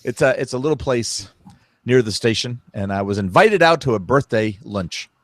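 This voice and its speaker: A man's voice: mid-range voice, expressive, moderate pace, no room sound. A man in his late sixties, a weather forecaster, chatting casually and poking fun at himself. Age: 60s. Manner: self-mocking, chuckling. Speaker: retired weather service forecaster. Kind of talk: casual panel chat.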